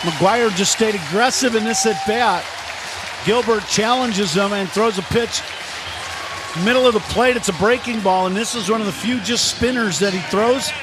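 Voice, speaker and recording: American guy doing color commentary for baseball radio, kinda higher voice, chatty and explaining stuff, lots of ballpark noise. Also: normal speed. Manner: conversational, explanatory. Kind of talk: live radio game analysis. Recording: crowd ambience noise behind broadcast. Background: ballpark ambience noise.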